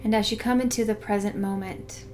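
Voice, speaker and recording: A woman guiding a meditation in a soothing, unhurried way, hushed in places. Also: mid-range voice, steady tone. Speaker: meditation guide. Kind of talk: guided meditation narration. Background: background music.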